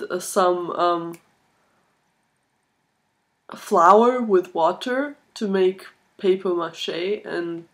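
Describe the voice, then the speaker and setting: A woman in her twenties chatting casually to camera in a halting, thoughtful way, recorded on a laptop microphone in a small room. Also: medium pitch. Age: 20s. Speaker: video blogger, art student. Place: small furnished room indoors. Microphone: laptop built-in microphone at arm's length.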